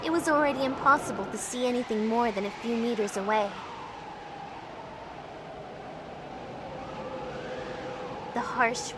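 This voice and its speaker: Woman acting introspective young game heroine, higher voice. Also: noisy background, close to an everyday voice. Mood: tense, dramatic. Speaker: narrating character in a fan dub of a visual novel. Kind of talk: first-person scripted narration. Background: music.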